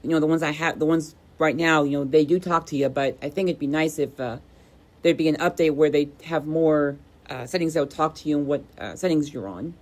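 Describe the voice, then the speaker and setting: Low-voiced woman reviewing products. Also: fairly quick. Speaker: video host and product reviewer. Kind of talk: casual product review chat.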